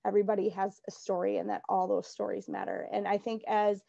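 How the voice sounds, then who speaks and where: A woman's voice: mid-range voice, flat delivery, brisk, creaky, warm tone, no room sound, highs cut off. A woman in her thirties with a Minnesota accent, an adapted physical education teacher giving an upbeat, earnest, chatty virtual workshop talk. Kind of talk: virtual conference presentation.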